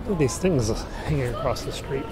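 A man's voice: medium pitch, lively intonation, brisk, over a noisy crowd. A man chatting casually to viewers in an offhand, musing way as he walks outdoors through a city. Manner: offhand, musing aloud to himself. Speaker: travel vlogger. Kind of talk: casual walking vlog chat to viewers. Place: outdoors walking on a city street. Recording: loud street noise, traffic outdoors. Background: urban street ambience with vehicles.

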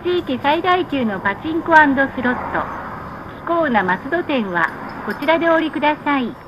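A woman reading scripted stop announcements on a bus in a measured, formal, polite manner. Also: high-pitched, animated. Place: played over speakers inside a moving bus. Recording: muffled, noisy recording inside a bus. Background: bus engine and road noise.

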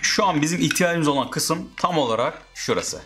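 A man's voice: on the high side, lively intonation, moderate pace, over music. Casual man narrating a DIY tutorial. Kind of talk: casual step-by-step how-to narration.

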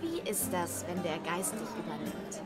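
A woman's voice: lowish voice, sing-song, unhurried. An actress playing a self-possessed young woman in a fantasy game, direct and composed. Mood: curious, direct. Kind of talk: natural game voice acting. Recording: loud music and crowd behind.

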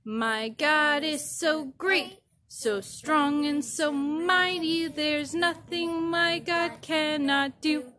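A woman with a high, bright voice leads children in worship songs, singing slowly and encouraging them cheerfully between verses. Faint music plays behind her. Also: fairly even intonation. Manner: encouraging, cheerful song leading. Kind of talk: leading children's action songs.

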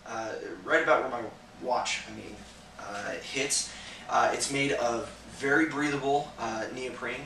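A young man giving a casual, relaxed product review to camera for a store, filling pauses with lots of "uh" and "um". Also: mid-range voice, a little hiss or hum. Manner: relaxed, conversational, many "uh" and "um" fillers. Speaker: gear reviewer for a sports protection store. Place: indoor shop room with slat wall. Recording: echoey room, voice a bit distant.

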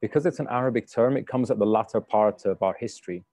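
A man's voice: on the low side, quick pace, warm tone, no room sound. A man who grew up in the UK, a cook giving a polite presentation on a video call, with many fillers and restarts. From United Kingdom. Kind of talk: webinar slide talk. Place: home room on a video call.

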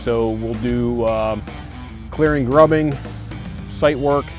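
A man's voice: mid-range voice, takes it slowly, darkish tone. An American man in his forties, a construction company owner, speaking plainly and casually in an interview with frequent "you know" asides. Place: outdoors on a construction site. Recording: music bed under the voice. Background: background music.

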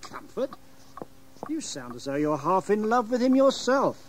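An actor playing a formal, deferential yet disapproving manservant in an audio drama. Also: male voice, sits high, very animated, unhurried, a little hiss or hum, poor audio. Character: respectful, disapproving manservant of a gentleman's household.

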